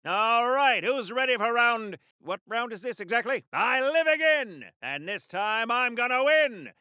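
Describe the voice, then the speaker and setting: A golden-age superhero for a video game, all melodrama and patriotic bluster. His voice is piercingly bright and swoops widely in pitch. He declaims and wisecracks like a 1940s radio serial hero, recorded very dry. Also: lively intonation, unhurried, clear, resonant tone, no room sound, exaggerated voice. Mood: cocky, wisecracking. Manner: theatrical, declamatory, corny wisecracking.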